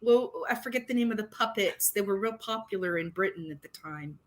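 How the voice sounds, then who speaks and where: A woman's voice: medium pitch, animated, fairly quick. A middle-aged woman co-hosting a livestream, chatting casually and sometimes groping for words. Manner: chatty, loses her words mid-sentence. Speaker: livestream co-host. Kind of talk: casual chat.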